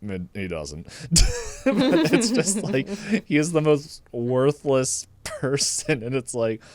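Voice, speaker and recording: A man's voice: mid-range voice, big pitch swings, takes it slowly, no echo. A man co-hosting a podcast, chatting casually about movies and retelling things in a jokey way with laughter in his speech. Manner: jokey, laughs through his own telling. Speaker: podcast co-host.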